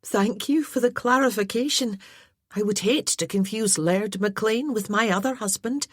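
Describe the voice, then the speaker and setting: A woman's voice: mid-range voice, measured pace, no room sound, voice pushed up, natural voice. A woman voicing a fierce young lady of the manor with a dry, teasing, grave way of speaking. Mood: wry.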